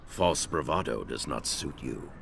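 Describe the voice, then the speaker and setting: An actor playing a stern, cold grandmaster in a video game cutscene, speaking formally and with measured admonishment. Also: male voice, lively intonation, a little hiss or hum, full character voice. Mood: cold, reproving. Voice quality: very deep, gravelly. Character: stern, ancient-sounding martial arts grandmaster, cold and measured. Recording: loud music under the voice.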